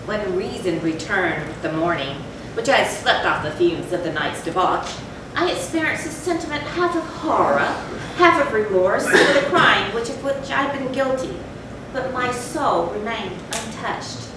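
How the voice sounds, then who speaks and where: A woman playing a tormented, confessing animal lover turned cruel drunkard, in a deliberate, formal, theatrical reading of a gothic horror story. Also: mid-range voice, expressive, natural voice. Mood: remorseful, brooding. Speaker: readers theatre performer. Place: large echoey room, far from the mic. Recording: very echoey, distant, noisy live hall recording.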